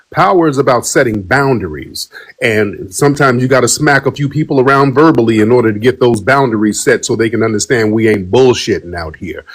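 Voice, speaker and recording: A man's voice: medium pitch, breathy edge. A man hosting a call-in broadcast, talking bluntly and emphatically in a streetwise way. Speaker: broadcast host taking calls. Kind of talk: heated call-in talk.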